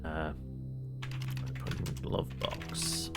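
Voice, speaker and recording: A man playing a text adventure game, reading it aloud dramatically with muttered commands and halting, casual asides. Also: loud music behind the voice.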